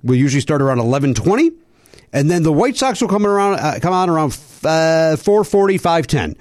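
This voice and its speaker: A podcast host chatting in a studio, his voice a touch gravelly after being sick. He talks with a lively, swooping pitch and needles his co-host with playful teasing. The sound is close and dry. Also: a little fast, no echo. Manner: teasing, bantering, animated. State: slightly gravelly voice after illness. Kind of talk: casual comedy podcast chat.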